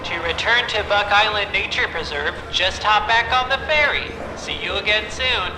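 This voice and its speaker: A man plays a courteous transit announcer with a high, lilting voice. The scripted message rings with heavy echo and long reverb, with music behind it. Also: normal speed, natural voice. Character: polite recorded ferry announcer.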